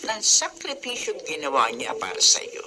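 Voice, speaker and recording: A woman's voice: sits low, wildly swooping pitch, moderate pace, music in the background. An actress playing a grateful mother speaking earnestly about someone who raised her daughter. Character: grateful mother of a young woman.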